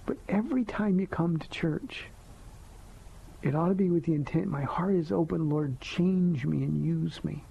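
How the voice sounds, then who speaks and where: Soft-spoken gravelly pastor on radio. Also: male voice, on the high side. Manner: gentle, earnest and reflective, with slow emphatic phrases. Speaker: radio pastor and call-in host. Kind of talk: answering listener questions on live radio. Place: radio studio. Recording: dull lo-fi radio broadcast.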